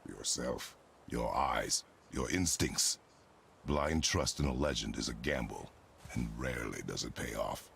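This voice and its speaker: Casting: a guarded, battle-hardened father for a game; extremely low gravelly register, curt and authoritative, light ambience behind. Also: a little slow, fairly bright tone, slight background noise, exaggerated voice. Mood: grave, instructive. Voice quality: very deep, gravelly. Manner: terse, grave, commanding. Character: stern, battle-worn warrior father, terse and guarded. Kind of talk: video game character acting.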